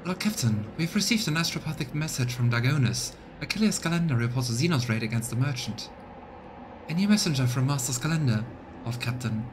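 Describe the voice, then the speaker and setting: A man doing live let's play commentary, reading game text aloud and thinking out loud for his viewers. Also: medium pitch, lively intonation, a little fast, background noise. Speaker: live streamer voicing a game playthrough.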